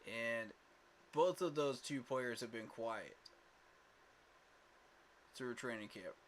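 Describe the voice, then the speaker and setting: A man's voice: medium pitch, slow, a little hiss or hum. A man hosting a casual fan livestream, talking emphatically with lots of fillers and repeated words, tired from little sleep. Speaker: fan channel host.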